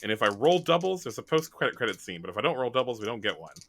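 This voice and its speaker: A man's voice: medium pitch, big pitch swings, rapid-fire, gravelly, fairly bright tone. A man hosting and narrating a tabletop game in a casual, improvised, conversational way.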